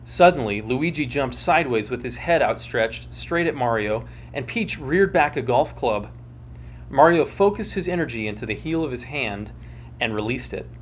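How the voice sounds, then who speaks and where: Male reader, twenties, mock-serious and theatrical, animated delivery, home recording with faint noise. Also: mid-range voice, normal speed. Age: 20s. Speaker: show host and reader. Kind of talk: dramatic comic story reading. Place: small home room with bookshelves, indoors. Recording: clear background hum and noise.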